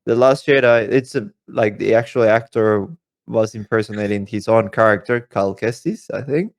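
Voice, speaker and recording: A man's voice: on the low side, normal speed, no echo. A man chatting casually as co-host of a podcast.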